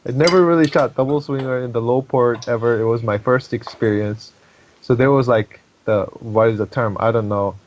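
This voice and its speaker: A man who is not a native English speaker, chatting casually as a podcast guest and often pausing to search for words. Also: medium pitch, a little mellow, highs cut off, slight background noise. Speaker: podcast guest, competitive shooter. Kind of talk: casual chat.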